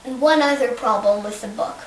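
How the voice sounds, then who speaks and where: A woman's voice: a little high, a little fast, reverberant, a little hiss or hum, low-quality recording. A young female student at home discussing a story with her teacher, thoughtful and a little hesitant. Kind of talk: casual classroom discussion.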